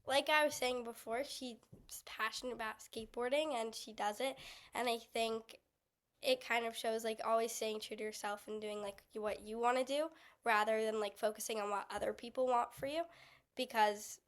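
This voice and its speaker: Girl with a highish voice chatting on a podcast, informal, lots of likes. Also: fairly even intonation, moderate pace. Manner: informal, uses like often. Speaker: young actor guest. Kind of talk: casual chat.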